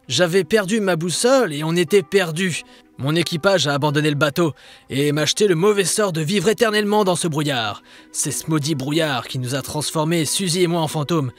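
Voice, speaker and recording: A man's voice: on the high side, natural voice. A man voicing an earnest, commanding adult ghost ship captain in a cartoon dub. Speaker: dubbing actor.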